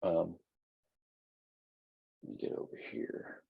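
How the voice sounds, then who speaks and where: A man teaching an online class in a loose, rambling way with lots of fillers, his voice husky and giving out. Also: slow, narrow, tinny audio. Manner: casual, rambling, many fillers and restarts. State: losing his voice. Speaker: college instructor.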